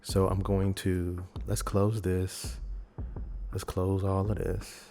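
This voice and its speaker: A man presenting a software tutorial in a casual, rambling, conversational way, talking informally through each step. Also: low voice, monotone, takes it slowly, gravelly, soft background music. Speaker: video editing tutorial host.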